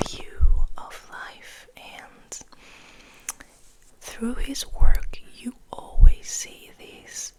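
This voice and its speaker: Young woman doing asmr, whispers real slow, soft n gentle, kinda rambly. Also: medium pitch, low-quality recording. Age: young adult. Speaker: ASMR host, literature student.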